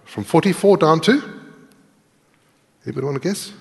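A man's voice: a little high, animated, moderate pace, gravelly. A middle-aged man giving a live coding talk, explaining step by step in a conversational way and putting questions to his audience. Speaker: conference speaker and programming instructor. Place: large tiered lecture auditorium, indoors. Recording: reverberant lecture hall echo.

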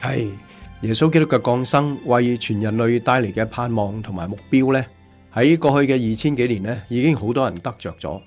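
A man's voice: medium pitch, fairly quick, darkish tone. A middle-aged man hosts a radio programme in a Hong Kong Cantonese accent. He speaks into a studio microphone, with music playing behind him. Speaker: radio show host. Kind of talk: radio interview hosting. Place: small padded radio studio. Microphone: studio desk microphone.

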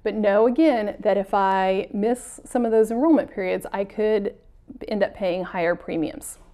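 A woman's voice: medium pitch, darkish tone. A woman in her thirties presenting an educational talk to camera in a studio, earnestly walking through examples in the first person. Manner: earnest, uses first-person examples, some self-corrections. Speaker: extension agent presenter. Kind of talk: explanatory lecture to camera. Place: studio with plain backdrop.

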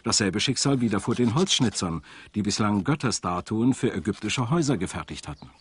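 Male documentary narrator, lowish pitch, animated intonation, clear articulation, scripted explanatory delivery. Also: fairly quick. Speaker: documentary narrator and voice-over translator. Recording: music behind the voice. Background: background music.

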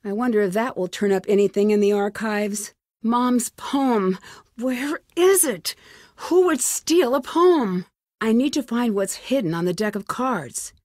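Casting: actress for plucky young female sleuth, video game lead, mid-range voice, quick-witted self-talk, very dry studio sound. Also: mid-range voice, darkish tone, no echo, close to an everyday voice. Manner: clearly enunciated, thinking aloud. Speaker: game protagonist.

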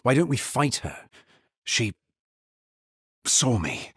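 An actor playing a grave, solemn necromancer hero in a fantasy video game, speaking in a measured, formal manner. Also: male voice, mid-range voice, very animated, a bit bright, slightly rough, no echo, studio quality, heavily acted. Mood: grave, restrained. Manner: measured, somber, formal delivery. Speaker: video game player character. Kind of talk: scripted fantasy game voice lines.

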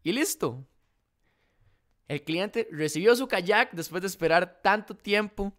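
A young man with a Costa Rican accent telling a story to camera in a casual vlog, animated and acting out both sides of the dialogue, close to a desk microphone in a room by a window. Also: high voice, a little slow. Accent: Costa Rican Spanish. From Costa Rica. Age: young adult. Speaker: dropshipping vlogger. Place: indoor room by a large window. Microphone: desk condenser mic in front of face.

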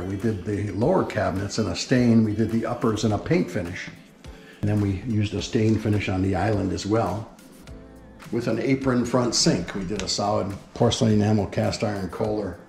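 Low, gravelly man in a roomy space. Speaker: home builder giving a house tour. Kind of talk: explanatory house tour narration. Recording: roomy indoor echo with music behind. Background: background music.

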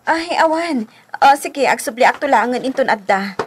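A woman acting as a nosy, chatty Filipino neighbourhood friend in a radio drama. Her high voice swings in a lively way, with clear noise behind it. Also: normal speed, close to an everyday voice. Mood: hesitant.

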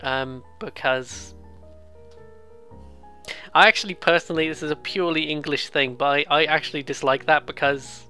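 A let's player giving casual, excitable, rambling game commentary, often interrupting themselves and reading dialogue aloud. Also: medium pitch, moderate pace, pauses often. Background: game music.

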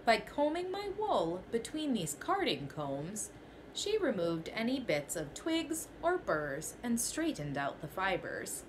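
A woman's voice: medium pitch, wildly swooping pitch, slow, a little hiss or hum, rough recording. A young woman teacher reading a children's picture book aloud in a small bedroom, telling it expressively in the first person.

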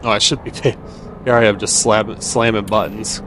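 An American man giving casual let's-play commentary, easygoing and thinking out loud. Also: lowish voice, fairly even intonation, moderate pace, over music. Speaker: gaming video host.

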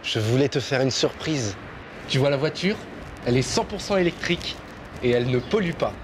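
Guy acting keen young student showing off, regular male pitch, noisy street. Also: expressive, a little fast, lightly acted. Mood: excited, proud. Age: 20s. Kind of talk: scripted French lesson dialogue. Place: outdoors on a city street, by and in a car. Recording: clear street and vehicle noise.

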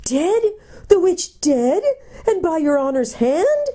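A tiny, whimpering storybook gnome, acted out by a woman. The creature is excitable and deferential, pitched high above everyday talk, with very lively swings in tone. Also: normal speed. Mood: astonished relief. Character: miserable little gnome, squealing, cringing, eager male creature. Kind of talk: acted audiobook character.

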